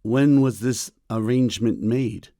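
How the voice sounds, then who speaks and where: A man playing a stern, middle-aged doctor and father in a period audiobook drama, with a measured, formal, coolly ironic manner. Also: medium pitch, warm tone, no room sound, studio quality, natural voice. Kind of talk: full-cast audiobook period drama acting.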